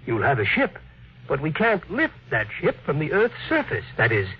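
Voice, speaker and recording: A very husky, cautious radio-play engineer. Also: male voice, fairly high pitch, wildly swooping pitch, full character voice. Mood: hesitant, cautious. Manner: hesitant, polite, measured. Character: deferential, doubtful engineer reasoning with his boss. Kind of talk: old-time radio drama acting. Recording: dull vintage radio recording.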